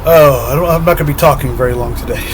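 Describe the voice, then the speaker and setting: A man talking slowly and dreamily to the camera, giggling softly and trailing off, his voice slightly hoarse. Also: medium pitch, noisy background. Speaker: spiritual vlogger. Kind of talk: reflective solo monologue.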